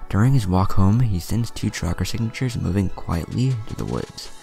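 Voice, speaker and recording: A man reading a fan-written ninja story aloud in a dramatic, expressive way. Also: on the low side, moderate pace, vocal fry, faint music behind.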